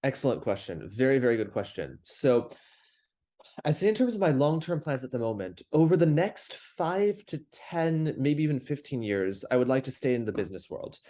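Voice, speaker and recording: A man's voice: mid-range voice, sing-song, normal speed, warm tone. A young man who grew up in Paris and speaks with an American accent, a startup founder answering interview questions in long, reflective answers. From France, grew up in Paris. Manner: articulate and reflective, speaks in long flowing thoughts. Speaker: podcast guest, startup founder. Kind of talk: casual conversational interview.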